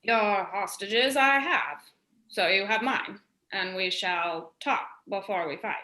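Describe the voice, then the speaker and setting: A woman's voice: mid-range voice, slow pace, pushed and bright, reverberant, rough recording. A woman playing a proud rebel space captain with stately, declamatory delivery in a Shakespearean radio play. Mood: proud, measured.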